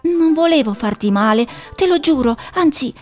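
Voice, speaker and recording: A woman voicing a small, frightened male pet hedgehog who talks high and shrill and rises to shouting in a storybook. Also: animated, moderate pace, soft background music. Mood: plaintive.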